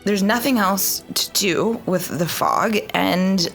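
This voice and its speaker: Animated woman player arguing in character. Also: mid-range voice, slow, creaky, soft background music. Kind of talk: tabletop roleplay, in character.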